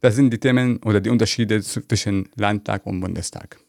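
Low gravelly guy explaining stuff plainly in an interview, dry sound. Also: fairly even intonation, brisk, dry recording. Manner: explaining step by step, many "also" and "zum Beispiel". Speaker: interview guest, civic education officer. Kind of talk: interview answers.

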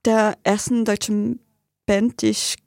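A woman chatting casually, haltingly searching for words and repeating them. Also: mid-range voice, flat delivery, a little mellow, no room sound, clean studio sound. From French-speaking country. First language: French. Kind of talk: casual studio chat. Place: dry radio studio.

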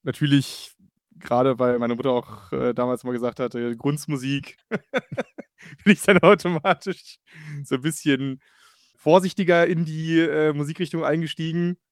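Smooth-voiced man, casual answers. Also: fairly high pitch, steady tone, unhurried, no room sound, clean studio sound. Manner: casual, frequent fillers. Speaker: guitarist and songwriter. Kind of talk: interview answers.

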